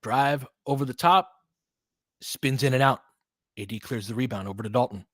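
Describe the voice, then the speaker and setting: Man, medium pitch, dry sound, casual basketball commentator, partisan, reactive, informal play-by-play. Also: moderate pace, dry recording. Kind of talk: casual fan-style live basketball commentary.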